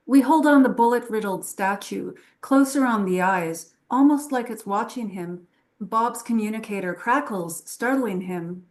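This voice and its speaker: A woman reading stage directions as dramatic scripted narration at a table read. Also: fairly high pitch.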